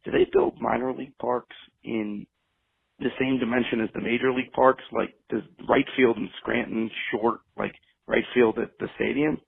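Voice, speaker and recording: A gravelly American man leaves a rambling, casual voicemail. He is deadpan and barely moves his pitch, and the phone recording is dull and lo-fi. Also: normal speed, no echo. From New Jersey, United States. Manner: bored, deadpan. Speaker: voicemail caller.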